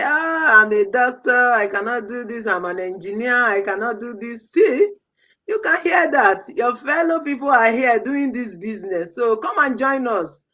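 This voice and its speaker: A middle-aged woman from Nigeria hosting a live stream, animated, exclaiming and repeating her greetings. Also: on the high side, dull audio. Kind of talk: lively live-stream talk.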